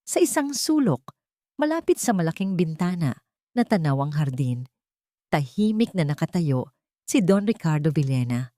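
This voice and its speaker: Low-voiced Filipino woman narrator. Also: big pitch swings, normal speed, dark tone, no echo, studio quality. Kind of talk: scripted dramatic story narration.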